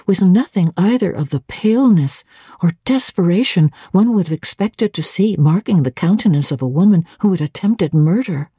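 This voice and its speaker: A woman narrating classic literature as a dramatic reading, expressive, deliberate and theatrical. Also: mid-range voice, husky, dry recording, clean studio sound. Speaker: audiobook narrator.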